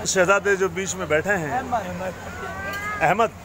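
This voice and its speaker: A man's voice: high voice, fairly even intonation, unhurried, crowd noise. A middle-aged man recites poetic couplets to guests in a florid, courtly, declamatory way as a restaurant entertainer. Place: busy outdoor restaurant courtyard at night. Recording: noisy open-air restaurant, phone recording.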